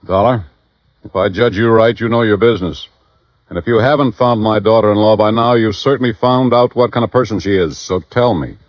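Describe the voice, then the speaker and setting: Old, proud, dying father for a radio play male actor, blunt and deliberate, low register, dry dated sound. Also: dry recording, lightly acted. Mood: insistent. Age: older. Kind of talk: 1950s radio drama acting. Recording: muffled vintage radio recording, dry.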